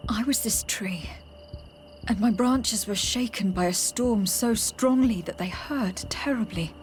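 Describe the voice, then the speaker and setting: Earnest, measured young woman game actress, mid-pitched. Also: a little mellow, slight background noise, natural voice. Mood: awed, hushed.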